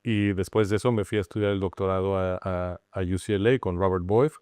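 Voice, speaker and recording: A man's voice: sits low, fairly even intonation, darkish tone, smooth, no room sound, clean studio sound. A middle-aged Mexican man with a Mexican Spanish accent, an anthropologist guest who explains patiently and restarts phrases as he thinks. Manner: explanatory, measured with frequent restarts. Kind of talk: interview conversation.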